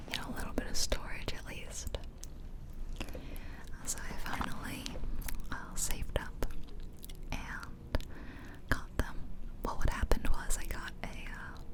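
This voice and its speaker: A woman whispering softly and close to the mic in ASMR style, rambling casually through a personal story in a chatty way. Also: poor audio. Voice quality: soft unvoiced whisper. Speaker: ASMR video creator. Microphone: close-up ear-to-ear stereo microphone.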